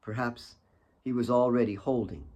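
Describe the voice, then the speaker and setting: A man reading his own fiction as an audiobook, with solemn, deliberate dramatic narration. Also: medium pitch, a little mellow.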